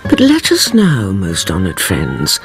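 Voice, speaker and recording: Grave knowing narrator, very wide pitch swings, slow theatrical delivery, soft music behind. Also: female voice, wildly swooping pitch, full character voice. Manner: deliberate, theatrical phrasing. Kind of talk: scripted dramatic narration.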